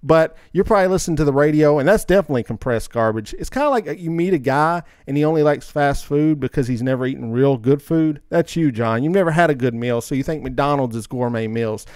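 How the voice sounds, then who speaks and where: A man's voice: fairly high pitch, fast, few pauses, darkish tone, smooth, no echo. Guy hosting a podcast, casual and opinionated, teasing his buddy, really dry close audio. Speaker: podcast host. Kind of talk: casual podcast banter and debate.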